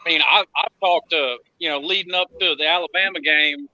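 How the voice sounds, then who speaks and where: A man's voice: a little high, a little fast, sharp tone, no echo, dull audio. A man in his thirties talking college football casually as a panelist, blunt and emphatic, repeating points to drive them home. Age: 30s. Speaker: panelist on college football fan talk show. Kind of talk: casual sports debate.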